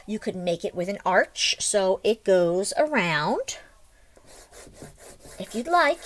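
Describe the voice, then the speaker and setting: Woman with lively, sing-song read-aloud delivery. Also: medium pitch. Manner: expressive, sing-song, deliberate delivery addressed to children. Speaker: teacher reading a picture book aloud. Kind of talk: read-aloud lesson for young children. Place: small room at home, indoors.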